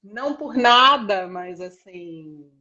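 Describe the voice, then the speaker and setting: A woman's voice: mid-range voice, lively intonation, takes it slowly, pushed and bright. A middle-aged woman with a blended Rio and São Paulo accent speaks as a guest in a casual live conversation. She rambles a little and leans on tag questions, and the call audio sounds dull and muffled. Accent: Brazilian, mixed Rio and São Paulo. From Brazil, born in Rio and raised partly in São Paulo state. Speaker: interview guest, lawyer with own practice. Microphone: internet video call.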